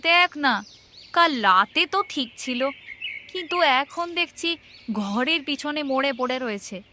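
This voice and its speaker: Woman as worried village auntie, cartoon, high-pitched, bright, plaintive, birdsong behind. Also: animated, slow, a little hiss or hum, heavily acted. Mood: worried, upset. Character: troubled village auntie in a ghost story.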